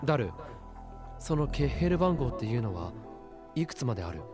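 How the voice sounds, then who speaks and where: A man's voice: medium pitch, very animated, rapid-fire, lightly acted. An actor playing a serious, brooding young man, the terse lead of a visual novel. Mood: intent. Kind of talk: Japanese visual novel voice acting. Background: game music.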